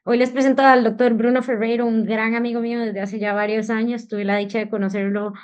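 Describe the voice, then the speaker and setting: A Costa Rican woman moderates an online medical lecture and introduces the guest speaker. Her voice sits somewhat high, and she talks informally with "este" fillers. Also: flat delivery, fairly quick, a little mellow, clear, resonant tone, dull audio. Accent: Costa Rican Spanish. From Costa Rica. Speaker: moderator, anesthesiologist.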